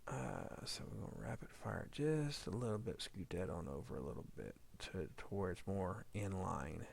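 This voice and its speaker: A man with a fairly low, creaky voice walks through an audio edit in a casual tutorial style. He talks informally, thinking out loud as he works. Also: moderate pace. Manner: informal, thinking aloud while working. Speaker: audio drama editor demonstrating editing. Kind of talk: casual screen-recorded editing tutorial.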